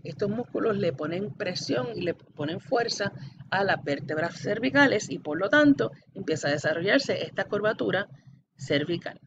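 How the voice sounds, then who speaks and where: A woman lecturer explains material step by step, speaking straight to the student and pausing to check understanding. The recording is muffled and lo-fi, with clear background noise. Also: mid-range voice, animated. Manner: didactic, checks understanding often, addresses the student directly. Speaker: anatomy lecturer. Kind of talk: explanatory classroom-style lecture. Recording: dull lo-fi audio with background noise.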